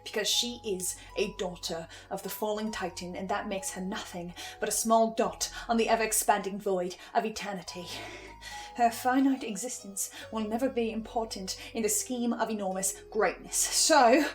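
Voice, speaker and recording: A woman playing a composed, eerie researcher in a horror audio drama, speaking in a deliberate theatrical way. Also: medium pitch, faint music behind, natural voice. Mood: cold, menacing. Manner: slow, deliberate, ominous, suave.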